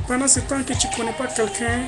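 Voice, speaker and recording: A man's voice: extremely high pitch, level tone, fairly quick, over loud music. A man from Africa speaking into his webcam in an emphatic, indignant monologue, often repeating his phrases. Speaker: vlogger.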